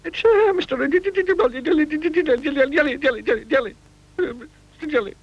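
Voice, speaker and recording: A man's voice: very high-pitched, fairly quick, muffled recording, pitched above the performer's own voice. A man voicing a tiny, panicky male creature who shrieks and stammers in fright. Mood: stammering.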